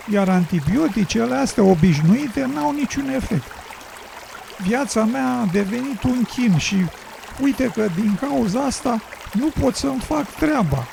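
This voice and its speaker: An actor playing a weary, ailing road builder in an educational radio drama, gravelly and husky, with a plaintive storytelling manner. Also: male voice, very high-pitched, noisy background, heavily acted.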